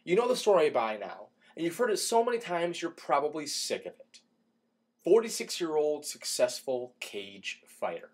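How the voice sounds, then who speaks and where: A man reads an opinion column aloud with theatrical emphasis, his slightly husky voice sitting a bit high. His pitch swings widely as he moves from hushed asides to punchy rhetorical questions. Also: sing-song, normal speed. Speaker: radio show host reading an opinion column. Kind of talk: dramatic reading of a sports column.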